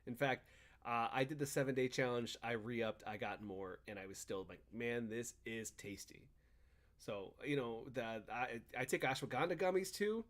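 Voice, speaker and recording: A man's voice: mid-range voice, normal speed, fairly bright tone. A man hosting a podcast, talking in casual banter and chatty ad reads with an emphatic, swinging delivery. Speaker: podcast and stream host.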